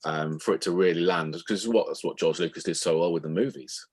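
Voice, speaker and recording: A man's voice: low voice, a little fast, a little mellow, husky. A man speaking as an interview guest, thoughtfully explaining his writing in a conversational way, often rephrasing mid-sentence. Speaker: interview guest, author of novels and audio dramas.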